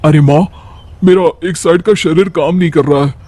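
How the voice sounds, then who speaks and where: A man's voice: fairly high pitch, moderate pace, mellow, no room sound, lightly acted. A man voicing a distressed, ailing adult village son in an anxious, complaining manner.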